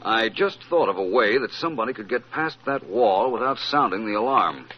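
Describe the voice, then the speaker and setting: An actor playing an urbane, unflappable gentleman detective in an old-time radio drama, polite and drily witty. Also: on the low side, lively intonation, moderate pace, breathy edge. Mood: polite, decisive. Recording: dull, faintly noisy vintage radio recording.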